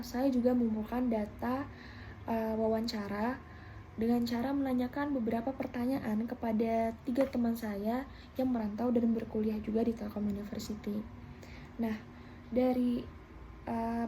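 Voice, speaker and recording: A young adult woman, a university student, presents her class project in a steady, slightly formal way, with occasional hesitant fillers. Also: a little high, a little hiss or hum, low-quality recording.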